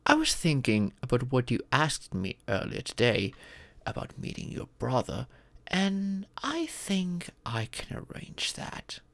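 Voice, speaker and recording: A man voicing a gentle, proud dragon father and former king in a comfort roleplay, speaking formally and tenderly. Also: mid-range voice, wildly swooping pitch, vocal fry, dry recording, heavily acted. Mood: reassuring, fatherly. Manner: formal, tender, paternal speech with deliberate pauses. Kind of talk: scripted comfort ASMR roleplay.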